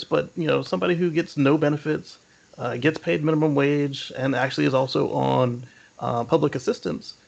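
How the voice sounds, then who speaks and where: Gravelly man chatting, slightly dull audio. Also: a little high. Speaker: podcast co-host. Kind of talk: casual opinionated discussion.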